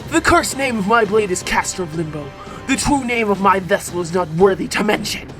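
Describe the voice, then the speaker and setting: A woman's voice: medium pitch, animated, a little fast, music in the background, close to an everyday voice. A woman voicing a crazed, vengeful male sorcerer delivering a grandiose villain monologue from a game. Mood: menacing, triumphant. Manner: grandiose, ranting, theatrical.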